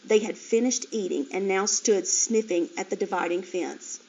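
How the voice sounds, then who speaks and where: A woman's voice: mid-range voice, normal speed. A woman teacher reading a children's chapter book aloud with expression. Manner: expressive, animated. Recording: dull lo-fi recording.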